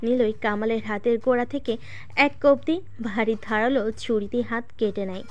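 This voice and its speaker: Female storyteller, fairly high voice, steady dramatic narration, faint music. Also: normal speed, muffled recording. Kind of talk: scripted audio story narration.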